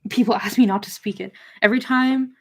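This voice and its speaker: A woman's voice: a little high, steady tone, a little fast, fairly bright tone. A young woman chatting casually with live viewers, informal and rambling as she drifts between points. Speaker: livestream host of a book discussion.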